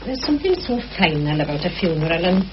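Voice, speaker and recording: An actress playing a kindly, talkative, bustling housekeeper in a vintage radio play, in a homely, fussing manner. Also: female voice, lowish voice, big pitch swings, quick pace. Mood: sympathetic. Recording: dull, hissy old radio drama recording.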